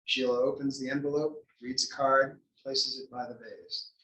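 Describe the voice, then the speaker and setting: A man's voice: mid-range voice, steady tone, normal speed, pushed and bright, slight background noise. A man reading scripted stage directions as the narrator of a table read. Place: library room before an audience. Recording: echoey room, distant mic.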